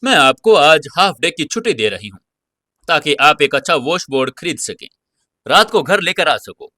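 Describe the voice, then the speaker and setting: A man's voice: fairly high pitch, sing-song, normal speed, bright, forceful tone. A man voicing a stern, sulky young wife and boss, firm and insistent, in an audio drama. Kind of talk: audio serial character dialogue.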